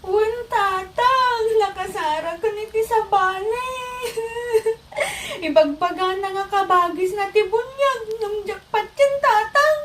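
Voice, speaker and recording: An actress playing a tearful, whiny young wife in a comedy drama, with a drawn-out wailing delivery. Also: female voice, very high-pitched, noisy background. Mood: tearful complaining.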